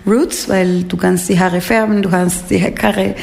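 A woman's voice: on the low side, fairly even intonation, unhurried, creaky, a little mellow. A woman from Peru with a Peruvian Spanish accent, explaining her art informally in an interview, searching for words in non-native phrasing. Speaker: painter being interviewed.

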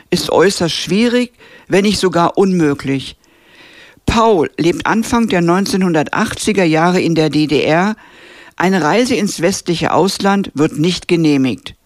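A woman narrating a scripted radio film review in a measured, even way. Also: on the low side, dry recording, clean studio sound.

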